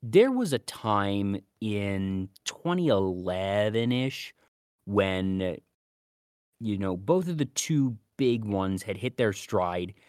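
A man co-hosting a podcast, explaining things in a casual, measured, conversational way. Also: fairly low pitch, animated, darkish tone, no echo, clean studio sound. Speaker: podcast co-host.

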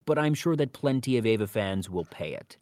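A man's voice: lowish voice, animated, a little fast, a little mellow, no echo. A man co-hosting a casual podcast discussion, talking in an opinionated, argumentative way. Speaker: co-host.

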